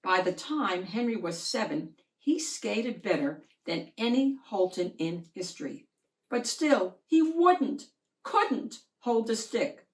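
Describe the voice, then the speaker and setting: Slow, very animated woman storyteller. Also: on the high side, low-quality recording. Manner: animated, deliberate storytelling with drawn-out words. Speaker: picture book reader. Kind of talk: expressive children's storybook read-aloud.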